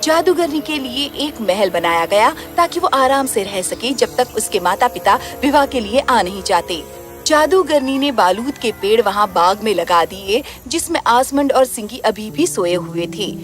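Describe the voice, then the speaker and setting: Female storyteller, scripted children's fairy tale narration, highish pitch, clear background music. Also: expressive, normal speed.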